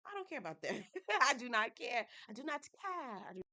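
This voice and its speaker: A woman with a stuffy head cold reviewing a reality TV show for her channel in a lively, casual, slang-filled way, talking straight to her viewers. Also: a little high, normal speed. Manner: animated, playful, Southern-inflected slang, addresses viewers as y'all.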